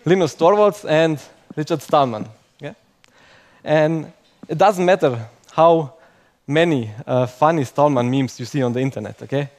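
Young non-native male tech speaker, self-mocking, fairly high voice, tags lines with "okay?". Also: normal speed, darkish tone. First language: not English. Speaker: conference speaker. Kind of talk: conference talk to a live audience.

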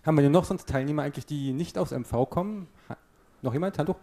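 Guy emceeing an event, chatty and upbeat, kinda dark warm voice. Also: on the high side, fast talker. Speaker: event host. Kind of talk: moderating a project showcase.

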